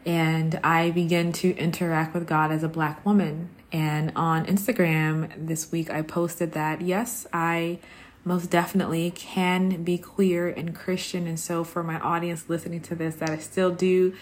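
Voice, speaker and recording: A woman with a slightly low voice speaks as the host of a personal podcast. Her delivery is very unhurried and reflective, broken into short phrases with pauses between them. The style is conversational, as in a solo monologue to her listeners. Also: level tone.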